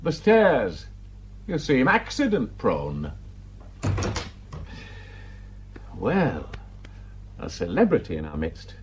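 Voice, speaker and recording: A British actor playing a nosy, needling journalist in a radio drama, sly and mocking in a theatrical way. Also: male voice, medium pitch, wildly swooping pitch, takes it slowly, slight background noise. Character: needling, nosy male agricultural journalist, smug and insinuating.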